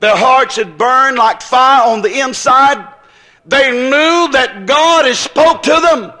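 A man's voice: extremely high pitch, slow pace, poor audio. A man preaching an impassioned sermon in an emphatic, repetitive, folksy way, acting out dialogue as he goes. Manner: emphatic, repetitive, building phrases into shouts; folksy, colloquial phrasing.

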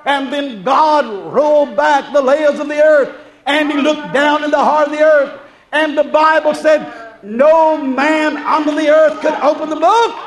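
A man preaching a fervent revival sermon, shouting exclamations, repeating refrains and calling on the congregation to respond. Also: very high-pitched, fairly even intonation, a little slow, poor audio. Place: preaching live before a congregation. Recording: live congregation heard around the preacher. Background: congregation crowd.

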